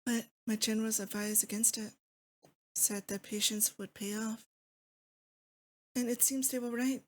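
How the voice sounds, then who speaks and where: A woman's voice: on the high side, fairly even intonation, measured pace, slight background noise, lightly acted. A woman acting as a lovesick, possessive demon lord in a romantic ASMR roleplay, intimate and doting with a theatrical menace. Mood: tender, longing.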